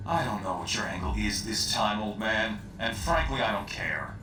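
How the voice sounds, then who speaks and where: A man's voice: animated, normal speed, close to an everyday voice. A man voicing a curt, dismissive war leader in a video game cutscene. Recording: echoey, distant, noisy with rumble. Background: rumbling noise.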